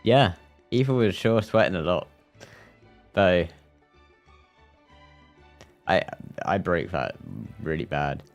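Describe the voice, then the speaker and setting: Low-pitched man streaming a game. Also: sing-song, takes it slowly, pauses often. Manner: joking, stumbles over read lines. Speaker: live streamer. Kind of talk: game livestream, reading dialogue aloud and commenting. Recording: game music behind.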